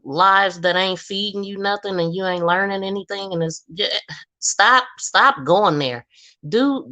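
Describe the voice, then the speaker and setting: A woman's voice: on the low side, a bit bright. A woman from Ohio, a guest in a casual conversation, talking bluntly and laughing often.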